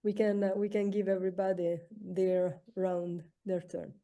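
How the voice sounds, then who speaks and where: A woman moderating a poetry round table, recapping each poem and passing turns along. Her voice is mellow and dark-toned at a middle pitch, and she pauses often on fillers. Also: flat delivery.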